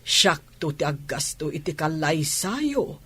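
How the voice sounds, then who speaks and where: Husky, slow-talking older auntie played by an actress. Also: female voice, low-pitched, big pitch swings, bright, forceful tone, heavily acted. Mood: serious, businesslike. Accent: Ilocano. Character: older well-off matchmaking aunt eager for grandchildren. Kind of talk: radio drama acting.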